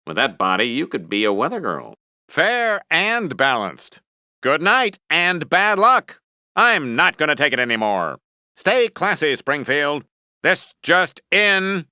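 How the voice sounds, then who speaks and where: An actor playing a smug, vain middle-aged American TV news anchor in a cartoon game, with a pompous mock-serious broadcast delivery. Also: male voice, fairly high pitch, sing-song, takes it slowly, sharp tone, no room sound, clean studio sound, full character voice. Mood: vain, breezy. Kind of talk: cartoon game newscast commentary.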